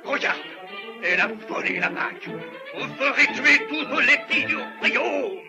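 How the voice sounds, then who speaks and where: An actor playing a covetous, impatient villainous sheikh, agitated and melodramatic, in an old dubbed cartoon film. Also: male voice, extremely high pitch, lively intonation, a little slow, loud music behind the voice, heavily acted. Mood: indignant, demanding. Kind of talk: dubbed vintage animated film acting.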